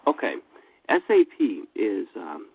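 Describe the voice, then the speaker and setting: A man with a cold giving a steady, businesslike webinar presentation, with frequent fillers. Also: sits low, a little slow, vocal fry, slightly rough, dry recording. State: has a cold. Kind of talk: scripted product presentation. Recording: dry, phone-like line.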